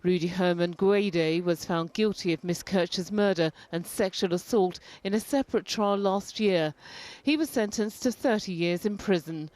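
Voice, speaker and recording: A woman reporter voices a news package in a steady, formal tone. Her voice sits a little low for a woman. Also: rough recording.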